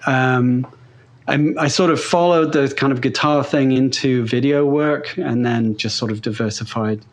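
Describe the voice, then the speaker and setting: A man's voice: medium pitch, a little slow. A man with a mixed British and Australian-sounding accent, a guest talking casually in an interview, rambling with many fillers and restarts. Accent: neutral British-Australian blend. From England. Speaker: guest pedal builder.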